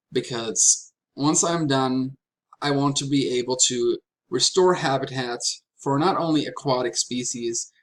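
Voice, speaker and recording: Slow-talking man giving a presentation. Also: medium pitch, fairly bright tone. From Franconia, Germany. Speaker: graduate student presenter. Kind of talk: prepared slide presentation.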